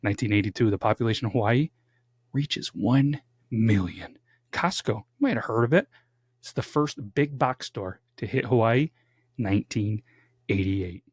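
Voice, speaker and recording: A man's voice: lowish voice, normal speed, husky, no echo, clean studio sound. A young man co-hosting a casual true-crime podcast from home, chatty and jokey with dramatic build-ups. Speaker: podcast co-host. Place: home studio.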